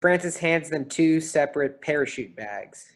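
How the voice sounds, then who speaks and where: A stage directions reader at a staged play reading. The voice sits at a medium pitch and delivers scripted narration of the characters' movements. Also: lively intonation, moderate pace.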